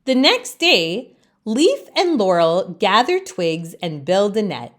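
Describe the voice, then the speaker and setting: A woman reading a children's storybook aloud as a storytime host, with expressive narration. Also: medium pitch, takes it slowly.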